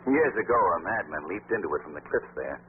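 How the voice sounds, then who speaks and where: A man acting an urbane, self-assured young gentleman sleuth in a 1940s radio play, breezy and cultivated. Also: low-pitched, sing-song, brisk. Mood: breezy, amused. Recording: tinny, noisy 1940s radio recording.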